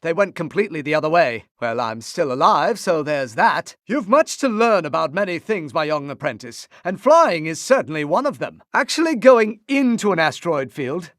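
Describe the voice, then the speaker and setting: A man's voice: a little high, big pitch swings, moderate pace, hardly any pauses, a bit bright, dry recording. A male actor playing a composed, wry Jedi master in a video game, with a refined British accent and dry, formal phrasing. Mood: wry, exasperated. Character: composed, wry Jedi master, adult man mentoring an apprentice. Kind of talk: video game voice clips, short barks and dialogue lines.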